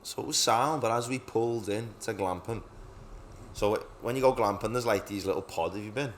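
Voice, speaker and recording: A man's voice: lowish voice, a little fast, a little hiss or hum. A young man co-hosting a podcast, chatting casually and telling stories with lots of swearing and laughter. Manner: sweary, storytelling, laughs often. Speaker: podcast co-host. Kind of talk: casual chat.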